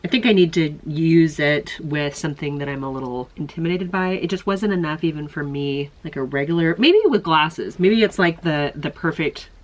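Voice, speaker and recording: A woman's voice: low-pitched, moderate pace, hardly any pauses. A woman vlogger with a congested, stuffy voice, chatting through a makeup try-on and rambling as she goes. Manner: chatty, rambling, self-questioning. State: congested from illness. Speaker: beauty vlogger. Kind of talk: casual makeup try-on chat.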